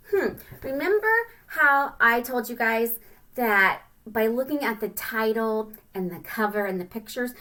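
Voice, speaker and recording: Woman, elementary teacher, slightly high bright voice, expressive storytime read-aloud, simple asides to children. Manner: simple explanations addressed to young children, expressive and animated.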